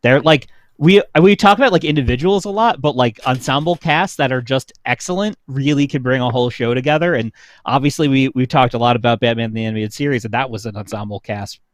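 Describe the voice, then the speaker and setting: A man's voice: medium pitch, quick pace, no echo. A young adult man chatting casually on a livestream, an enthusiastic fan who peppers his talk with "like". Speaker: show panelist.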